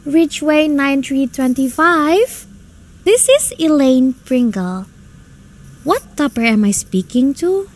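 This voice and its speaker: Excitable society daughter played by a woman, extremely high voice, animated swoops, theatrical and flustered, for radio drama. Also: extremely slow, pauses often, full character voice. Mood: bright, sociable. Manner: dramatic, exclaiming, stammering when flustered. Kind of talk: stage-like radio drama acting.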